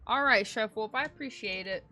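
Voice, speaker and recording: A woman's voice: on the high side, brisk, pushed and bright, soft background music. A young adult woman livestreaming a game, chatting and reacting casually with slang and some swearing. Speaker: game streamer.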